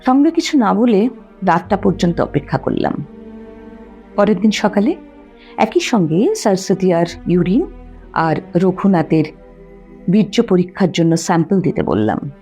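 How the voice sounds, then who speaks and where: A woman narrating a literary audio drama expressively, with deliberate dramatic pauses. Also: on the low side, animated, dark tone, faint music behind.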